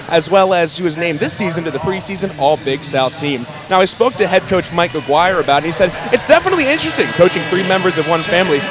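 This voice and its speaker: A young man working as a sideline reporter, giving brisk, informative updates. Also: sits high. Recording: loud music behind the voice.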